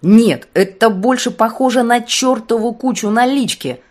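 A woman's voice: fairly high pitch, a little slow, darkish tone. A woman voicing a proud young waitress in an audiobook, blunt, sarcastic and defiant.

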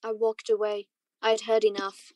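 A woman playing a grieving, remorseful man who narrates his memories haltingly in radio drama style. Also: mid-range voice, completely flat delivery, a little mellow, no room sound, natural voice. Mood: grief-stricken. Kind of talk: 1940s radio drama acting.

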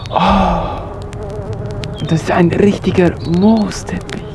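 A man playing a wondering, dreamy sound hunter who muses haltingly in a radio play. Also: high-pitched, fairly even intonation, background noise. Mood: dreamy delight. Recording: 1980 vinyl radio play recording.

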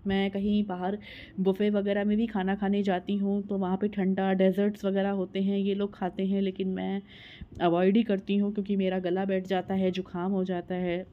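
A woman in her thirties with a Hindi accent talks through her home vlog at an easy, explaining pace, dropping small "uh" fillers as she goes. Her voice sits at an ordinary middle pitch. The recording is slightly dull and lo-fi. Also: completely flat delivery, normal speed. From India. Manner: conversational, explains step by step, frequent "uh" fillers. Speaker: vlogger. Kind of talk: voice-over narration of a home vlog.

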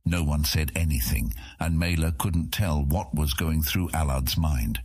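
A man reading scripted fantasy narration as an audiobook narrator, with a husky voice. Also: a bass voice, measured pace, darkish tone, no room sound.

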